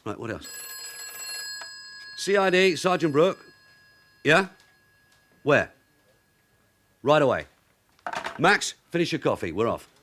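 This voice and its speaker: Veteran detective, brisk, wry, commanding, animated pitch, radio drama, faint background noise. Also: male voice, exaggerated voice. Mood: urgent, commanding. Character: shrewd, brisk veteran London detective sergeant. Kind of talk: British radio drama acting.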